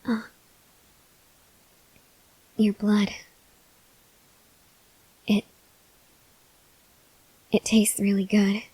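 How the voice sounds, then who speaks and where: A woman playing a gentle, awkward, hungry female vampire in an ASMR roleplay, speaking softly and hesitantly with breathy near-whispers and tender reassurance. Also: medium pitch, completely flat delivery, drawn-out words, pauses often, no room sound, low-quality recording. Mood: awkward, soothing. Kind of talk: ASMR roleplay, intimate address to the listener.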